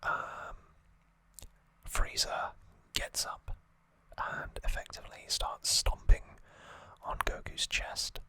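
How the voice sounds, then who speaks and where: This is an ASMR host who whispers from start to finish. The delivery is gentle and halting, at a slow pace. The whisper has a bright, sharp edge. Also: dry recording. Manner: hushed, unvoiced whispering throughout. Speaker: host of an anime trivia channel.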